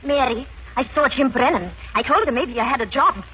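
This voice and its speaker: An actress playing a caring, practical sister in a 1940s radio drama. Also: female voice, high voice, lively intonation, fairly quick. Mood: concerned, coaxing. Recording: muffled, noisy 1940s radio recording.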